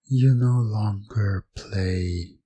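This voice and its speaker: Very slow, deep-voiced man narrating hypnosis. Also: warm tone, slightly rough. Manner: slow, deliberate, soothing, commanding. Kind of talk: hypnosis ASMR, scripted second-person storytelling.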